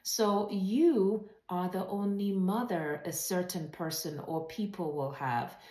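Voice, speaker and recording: A woman hosting an informal instructional webinar, speaking straight to viewers in an encouraging way and slowing down to stress key phrases. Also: mid-range voice, dark tone. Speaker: host of a writing advice channel. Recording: roomy, echoey sound.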